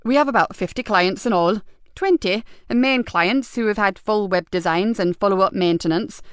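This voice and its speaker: A woman's voice: mid-range voice, normal speed, smooth, dry recording, clean studio sound, natural voice. A woman voicing a mild, absent-minded middle-aged man who talks plainly and openly. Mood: easygoing.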